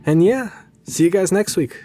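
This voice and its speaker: A man's voice: sits high, expressive, moderate pace, loud music behind the voice. A man hosting his story channel, chatting casually and informally to his viewers. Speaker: host and storyteller of a horror channel.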